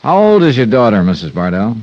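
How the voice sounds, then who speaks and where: An actor playing a wry, cool-headed investigator in a 1950s radio crime drama. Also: male voice, mid-range voice, wildly swooping pitch, brisk, darkish tone. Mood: friendly, probing. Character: hard-boiled, wry, cool-headed male insurance investigator. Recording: muffled old radio recording, music underneath.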